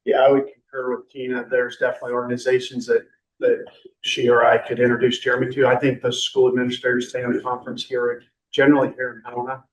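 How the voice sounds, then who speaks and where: Guy running a council meeting, rambly and chatty, sounds far off in a boomy room. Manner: chatty, rambling, with light joking. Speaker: meeting chair. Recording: echoey room from a distant mic.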